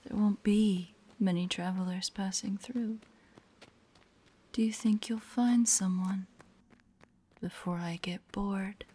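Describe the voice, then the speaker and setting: A woman playing a predatory, teasing spider-woman monster in a horror audio roleplay, with a breathy, whispery voice and a taunting, menacing manner. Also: medium pitch, fairly even intonation, warm tone. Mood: playful menace. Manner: slow, taunting, playfully sinister.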